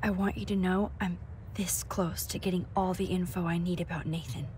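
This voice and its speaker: A woman acting a caring, nerdy young student photographer in a video game, hushed and supportive, quietly determined. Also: lowish voice, fairly even intonation, normal speed, a little hiss or hum, natural voice. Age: young adult.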